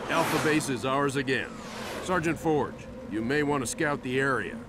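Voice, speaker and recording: An actor playing a grave, weary middle-aged starship captain in a video game, speaking with measured authority. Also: male voice, mid-range voice, heavy background noise, lightly acted. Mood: commanding. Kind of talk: cinematic game acting, solemn narration and orders. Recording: loud cinematic music behind the voice.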